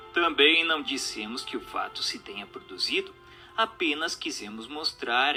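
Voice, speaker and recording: A man with a Brazilian accent reading a scripted text as narrator, in a formal, didactic, measured manner. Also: fairly high pitch, sharp tone. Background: music.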